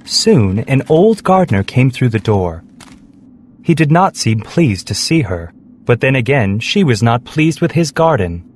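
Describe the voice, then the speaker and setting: A man's voice: medium pitch, sing-song, a little slow, a little mellow, a little hiss or hum. A man narrating a scripted story for children.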